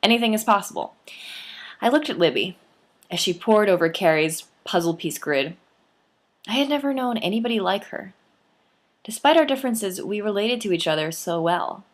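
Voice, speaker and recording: A young adult woman, the author, reading her memoir aloud with lively, playful storytelling and expressive emphasis. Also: medium pitch, moderate pace.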